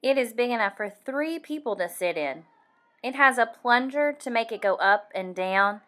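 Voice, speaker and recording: A woman reading a picture book aloud for young children, animated and deliberate, acting out the sound effects. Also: a little high. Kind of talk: expressive read-aloud storytelling for young children.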